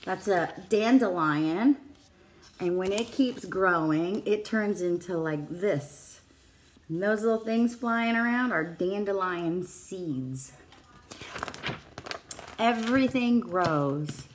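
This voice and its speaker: Middle-aged female preschool teacher for a children's read-aloud; very unhurried, mid-pitched, speaks simply and directly to little ones. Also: expressive, slight background noise. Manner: simple child-directed phrasing with questions to listeners. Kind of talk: reading a picture book aloud to young children. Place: small classroom, indoors. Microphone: phone or tablet at arm's length.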